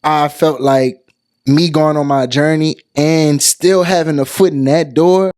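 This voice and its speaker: A man of thirty, a podcast host, talking in a thoughtful, unhurried way with a lot of fillers. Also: on the high side, fairly even intonation, darkish tone, dry recording, studio quality. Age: 30s. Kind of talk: reflective casual chat.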